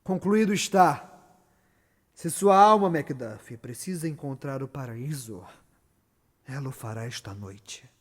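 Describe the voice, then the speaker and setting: A man's voice: medium pitch, expressive, unhurried, lightly acted. An actor playing a brooding, guilt-haunted murderous king in a solemn, declamatory theatrical monologue. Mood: fierce. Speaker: stage actor. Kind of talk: dramatic theatrical monologue of Shakespeare. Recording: long hall reverb under loud music.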